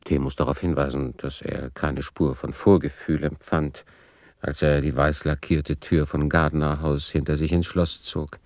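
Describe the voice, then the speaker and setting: An actor playing a sober, matter-of-fact narrator of a radio play, reporting in measured, precise like someone reading out a case file. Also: male voice, a bass voice, flat delivery, a little mellow, dry recording, clean studio sound. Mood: detached, factual.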